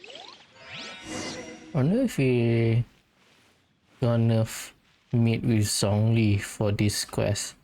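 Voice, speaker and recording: A man giving casual let's-play commentary, musing aloud in a halting way. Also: mid-range voice, wildly swooping pitch, dark tone. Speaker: gaming streamer.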